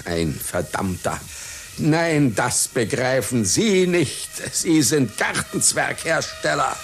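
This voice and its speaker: An actor playing a vain, neurotic musical clown in a satirical radio play, declaiming pompously and imperiously. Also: male voice, mid-range voice. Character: vain, neurotic male musical clown, dependent on his wife. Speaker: concert clown.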